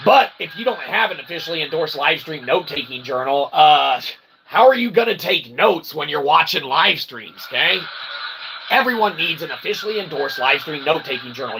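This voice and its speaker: A man's voice: a little high, vocal fry, background noise. A man hosting a livestream in a rambling monologue, wordy and mock-formal, repeating himself emphatically with tag questions. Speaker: livestream host and self-published author.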